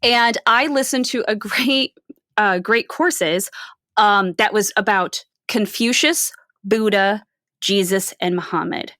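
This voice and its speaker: A woman's voice: mid-range voice, slow, pushed and bright, no room sound. Woman co-host, dry close sound, chatty. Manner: explanatory, often adds "right?" and "like". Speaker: podcast co-host. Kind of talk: casual film discussion.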